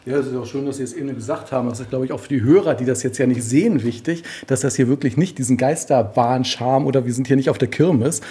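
A man's voice: mid-range voice, quick pace, hardly any pauses, dark tone. A man working as a podcast reporter on location indoors, talking and asking questions. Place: indoors in a museum.

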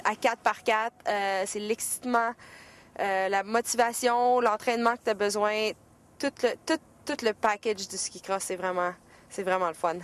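A woman's voice: mid-range voice, fairly even intonation, normal speed. A woman athlete, answering hesitantly outdoors in the snow. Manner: hesitant, many fillers. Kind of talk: casual interview answers.

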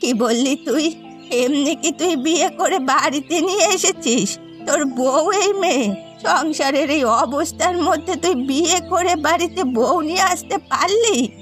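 A woman as a high-voiced, grumbling old mother-in-law. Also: measured pace. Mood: scolding. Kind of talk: cartoon drama dialogue. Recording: music behind the voice.

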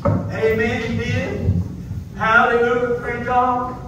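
Man, middle-aged preacher, very high voice, sung chanting amens, far from mic, echoey room. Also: monotone, takes it slowly, muffled recording, noisy background. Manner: punctuates every phrase with amen and hallelujah, rising into a sung chant. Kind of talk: chanted revival sermon. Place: small wood-paneled church, indoors. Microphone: far phone camera in the pews.

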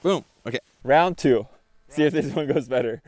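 A young man on a snowy mountain ski tour, chatting casually and excitably into a body-worn action camera, swearing and laughing often. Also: medium pitch, moderate pace. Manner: excitable, swears, laughs a lot, says "dude". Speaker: skier and vlogger. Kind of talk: casual outdoor chat while ski touring. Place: outdoors on a snowy mountain couloir.

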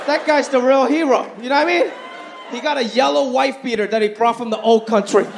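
A man in his thirties from Hong Kong performing a stand-up comedy set on a theater stage into a handheld mic, animated and swinging his pitch for punchlines. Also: fairly quick, vocal fry. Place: large theater stage with live audience. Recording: live show with faint crowd and room noise.

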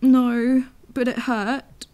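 A woman's voice: fairly high pitch, level tone, very slow, mellow. A young woman playing a defensive, easily frustrated daughter in a sci-fi audio drama. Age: young adult.

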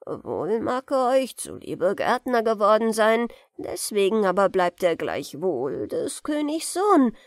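A woman voicing a humble old beggar in a fairy-tale audiobook, hesitant and deferential, with drawn-out words. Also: mid-range voice, huge pitch swings, dry recording, clean studio sound, full character voice. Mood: probing.

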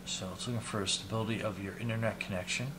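A man in his fifties giving a casual, unhurried hands-on product demo, thinking aloud as he goes. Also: fairly low pitch, steady tone. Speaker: product reviewer and video host. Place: small indoor hobby room at a desk. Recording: clear background noise, room ambience.

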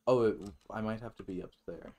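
A man with a low voice hosts a casual gaming video. He thinks out loud as he plays, rambling loosely and dropping swear words as he goes. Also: a little fast. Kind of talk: casual let's play commentary.

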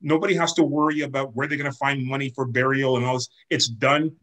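A man's voice: mid-range voice, steady tone, quick pace, fairly bright tone. A man on a video call earnestly sharing a personal experience. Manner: earnest, candid personal testimony. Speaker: community member sharing experience.

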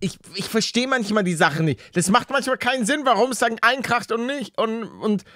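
A young man giving excitable, slangy casual commentary as he reacts to gaming videos. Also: very high voice, moderate pace, no echo, studio quality. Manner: animated, slangy, youthful. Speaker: gaming YouTuber. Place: small indoor gaming room. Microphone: close desk microphone.

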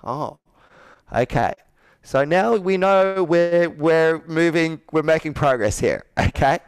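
A male sales coach with a fairly high, smooth and resonant voice. He questions directly and persuasively, as in a live sales call roleplay. The sound is close and dry. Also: animated, slow, no echo. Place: indoor room with whiteboard, on a video call.

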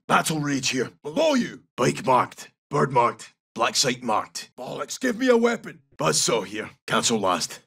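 An actor playing a gruff, brash Scottish special forces soldier in a military shooter game, giving clipped, urgent tactical callouts in a rough, gravelly voice. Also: male voice, mid-range voice, pushed and bright, recorded from a distance, heavily acted. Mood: terse, focused.

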